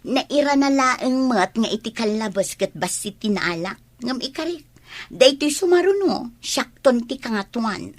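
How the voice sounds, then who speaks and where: Cocky young student, lively high voice woman acting. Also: a little slow, no echo. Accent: Ilocano. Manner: boastful. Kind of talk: Ilocano radio drama acting.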